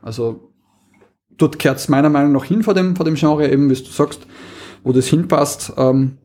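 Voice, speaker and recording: A man's voice: a little high, moderate pace, mellow. A man with an Austrian accent chatting casually as a podcast co-host, often repeating and restarting his phrases. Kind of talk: casual chat about audio drama series.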